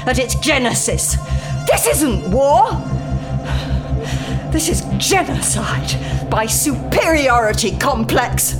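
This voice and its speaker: A woman's voice: on the high side, wildly swooping pitch, slow, music in the background, heavily acted. An actress playing an appalled, defiant woman denouncing a warlord in an audio drama.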